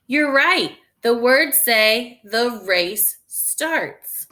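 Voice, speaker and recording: A woman reading a children's story aloud like a teacher, thinking out loud and guiding young listeners in a deliberate, instructional way. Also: fairly high pitch, expressive.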